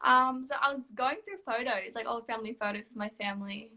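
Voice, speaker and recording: A woman's voice: on the high side, a little fast, bright, forceful tone. A young woman with an Australian accent giving casual interview answers as a songwriter. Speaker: songwriter, competition winner.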